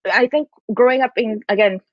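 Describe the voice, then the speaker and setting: A woman in her late twenties, raised in Kuwait, telling stories in a casual chat, animated and full of restarts. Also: on the high side, fairly quick, no room sound, dull audio. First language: Tagalog. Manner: animated storytelling with many likes and restarts. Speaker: podcast guest.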